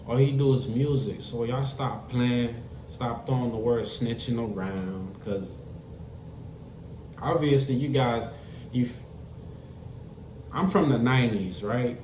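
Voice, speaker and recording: A man's voice: mid-range voice, slow pace, long pauses, a little mellow. A man giving a casual commentary monologue, talking straight to his viewers, repeating himself and stressing his points. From Florida. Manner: repetitive, emphatic, talks straight to viewers. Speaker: commentary vlogger. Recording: echoey room with faint noise.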